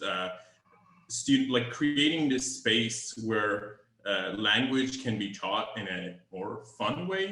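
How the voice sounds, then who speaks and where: A man's voice: on the low side, pushed and bright, reverberant, rough recording. A man whose first language is Farsi, speaking hesitantly on a video call panel with many fillers and restarts.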